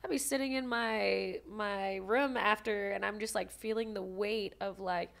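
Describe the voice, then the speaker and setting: A young adult woman from Maui, an actress guest telling stories in a casual, animated podcast chat. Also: medium pitch, creaky. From Maui, Hawaii. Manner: animated, quick storytelling with restarts.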